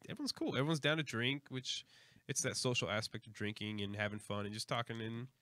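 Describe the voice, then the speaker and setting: A man co-hosting a casual beer podcast, talking in a relaxed, rambling way with lots of fillers. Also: mid-range voice, fast talker, dry recording. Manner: laid-back, rambling with fillers. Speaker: podcast co-host. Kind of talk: casual chat over beers.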